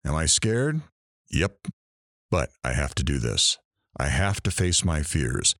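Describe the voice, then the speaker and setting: A man's voice: sits low, no echo, clean studio sound. A man playing a candid, earnest radio talk show host in an audio drama.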